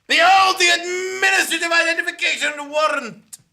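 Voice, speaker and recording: An actor playing a manic, power-hungry clerk turned bumbling villain, declaiming grandly in a comic radio play. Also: male voice, very high-pitched, normal speed, raised voice, heavy background noise. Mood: triumphant. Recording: music behind, live theatre stage.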